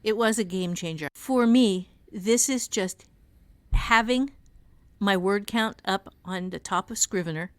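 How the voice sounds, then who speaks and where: A woman's voice: medium pitch, a little slow, dry recording. A woman co-hosting a podcast, chatting casually in a candid, emphatic, self-deprecating way. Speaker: author and teacher, podcast co-host. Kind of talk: casual chat.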